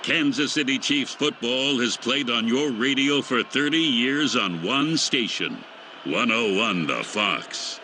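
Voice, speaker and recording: A male station announcer with a bright, forceful, medium-pitched voice delivers a scripted ID. There is faint noise behind him. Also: lively intonation, takes it slowly.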